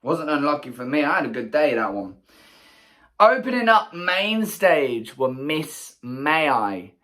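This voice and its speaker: A man from England with a croaky voice, chatting casually and enthusiastically as he recaps a music festival for his vlog. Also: a little high, very animated, a little slow, a bit bright. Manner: chatty, enthusiastic, rambling asides. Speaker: festival vlogger. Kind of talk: casual solo recap to camera.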